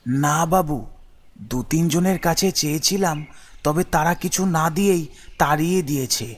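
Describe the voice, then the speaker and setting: A man voicing a poor village beggar, humble and grateful, with a deferential, pleading manner in an animated story. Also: on the high side, normal speed, close to an everyday voice. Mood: meek.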